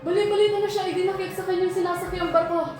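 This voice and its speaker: A woman's voice: very high voice, steady tone, fast talker, darkish tone. A woman playing a distressed young woman who brings bad news in an amateur film drama. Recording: very echoey, faint music.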